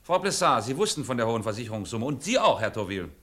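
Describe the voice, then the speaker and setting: Stern, pressing male inspector for crime radio drama; rapid, animated delivery. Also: mid-range voice. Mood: accusing, stern. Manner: clipped, pressing questioning. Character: stern, insistent male police inspector, sharp interrogator. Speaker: actor in a crime radio play.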